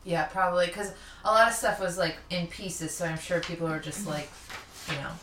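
A woman's voice: on the low side, fairly even intonation, normal speed, fairly bright tone, slight background noise. A woman co-hosting a podcast in casual, chatty storytelling, informal and jokey. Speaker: podcast co-host. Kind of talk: casual chatty storytelling about local history. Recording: roomy echo, distant from mic.

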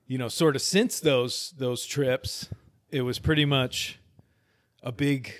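A man in his forties hosting a relaxed podcast chat, his voice sitting in an ordinary middle register. He talks earnestly and a little rambling, circling back on his sentences and laughing easily. The sound is dry and close, with no room around it. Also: mid-range voice, takes it slowly, no room sound. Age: 40s. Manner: earnest and rambling, with frequent restarts and easy laughs. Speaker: podcast host. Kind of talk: casual conversational interview.

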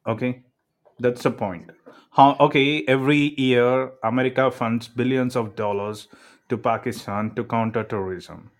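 A man's voice: mid-range voice, fairly even intonation, moderate pace. Emphatic man. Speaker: discussion panelist, scientist. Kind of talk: opinionated discussion.

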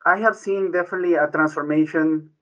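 Male doctor, forceful slightly high voice, muffled lo-fi sound, keeps adding "right?". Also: fairly even intonation, brisk. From Ecuador. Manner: frequent "right?" tag questions, restarts. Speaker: physician guest. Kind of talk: conversational explanation. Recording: dull lo-fi audio.

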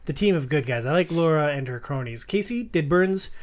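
A man's voice: fairly high pitch, lively intonation, fairly quick. A man hosting a podcast, leading a casual discussion about films. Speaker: podcast host. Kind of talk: casual moderated film discussion.

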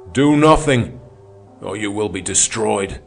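A man's voice: deep voice, unhurried. A man voicing a fiery, defiant socialist orator who denounces his opponents in a thundering, declamatory way. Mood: urgent. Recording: music playing underneath.

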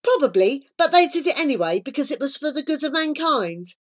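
A woman's voice: high-pitched, sing-song, fairly bright tone, pitched above the performer's own voice. A woman voicing a bossy, confident young girl in a children's story, emphatic and persuasive. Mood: exasperated, persuasive. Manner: emphatic, coaxing, brisk.

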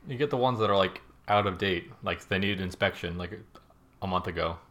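Man, rapid talker, teasing banter, casual podcast co-host. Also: low voice, fairly bright tone. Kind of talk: casual chat.

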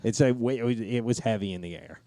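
Male podcast co-host, lower register, casual raconteur with a profane streak, very dry sound. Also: quick pace, warm tone, no room sound. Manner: crude, profanity-laced. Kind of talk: casual storytelling banter.

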